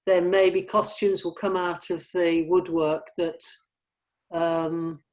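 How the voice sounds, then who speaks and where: Lower-pitched woman thinking aloud. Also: little pitch movement, slow pace, a little mellow. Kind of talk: informal meeting discussion.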